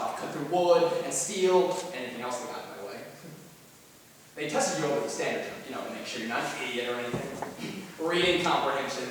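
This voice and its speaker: A young man performing a dramatic monologue as an anxious twenty-year-old looking back on depression, halting and earnest, with theatrical swings in volume. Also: on the high side, natural voice. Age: young adult. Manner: halting, earnest, swinging from quiet to loud outbursts. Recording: very echoey hall from far off, noisy.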